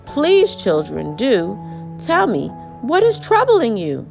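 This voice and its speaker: A woman's voice: on the high side, huge pitch swings, slow pace, creaky, darkish tone, dull audio, faint music behind, voice pushed up, heavily acted. A woman voicing a worried, caring woman in charge of little girls in a storybook, dramatic and drawn out. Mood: anxious pleading.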